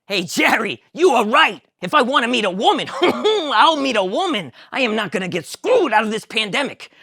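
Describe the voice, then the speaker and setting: A woman's voice: a little high, very animated, fairly quick, pushed and bright, husky, voice pushed up, full character voice. A woman voicing a neurotic, anxious man who whines and frets. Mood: whiny. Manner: whiny, exasperated.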